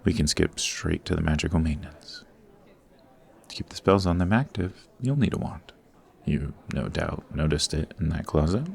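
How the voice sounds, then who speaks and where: A man playing a suave, formal supervillain boss in an ASMR roleplay, patient and exacting, with measured, instructive speech. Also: rumbling low, animated, vocal fry, exaggerated voice. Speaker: voice actor.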